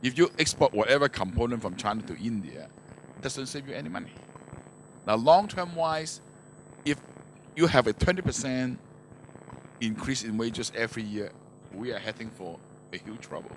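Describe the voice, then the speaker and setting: A man's voice: mid-range voice, normal speed, pauses often. An older man speaking as a business panelist on a handheld microphone, explaining in non-native English phrasing with grammatical slips. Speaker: manufacturer speaking as conference panelist. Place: conference stage, indoors, before an audience. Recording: noisy handheld mic in conference hall.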